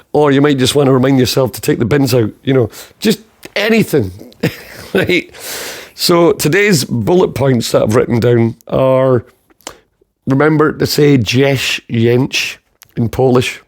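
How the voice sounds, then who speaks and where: A man's voice: medium pitch, a little slow. A man from the south of Scotland hosting a podcast in casual chat, rambling, swearing freely and laughing at his own jokes. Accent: southern Scottish. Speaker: podcast host.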